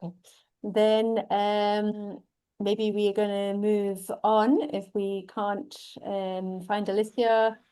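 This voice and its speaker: A woman moderating an online session as co-teacher, patient and gentle, with hesitant fillers while waiting for a participant. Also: medium pitch, level tone, takes it slowly, clear, resonant tone.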